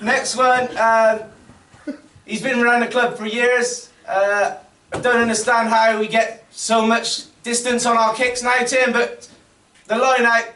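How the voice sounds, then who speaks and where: A man's voice: very high-pitched, level tone, a little slow, pushed and bright. A man with an English accent, a club captain giving an informal, joking speech at an awards dinner and teasing the crowd. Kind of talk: informal banter-filled speech. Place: large function room at a dinner. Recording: echoey room, far mic, background noise.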